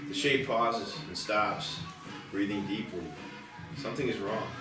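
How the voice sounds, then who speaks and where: Low-voiced man narrating in an echoey room. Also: a little slow. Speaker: screenplay narrator at a table read. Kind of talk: scripted narration, reading stage directions. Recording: distant echoey room, clear music behind.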